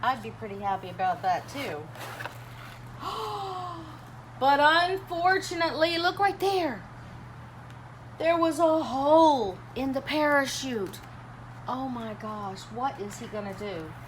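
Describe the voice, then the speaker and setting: A woman gives an animated storytime read-aloud in a fairly high voice that swings widely up and down. She takes her time, pausing to chat and put questions to young listeners in an expressive, dramatic way. There is clear noise behind her. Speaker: teacher reading a children's picture book aloud. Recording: clearly noisy background.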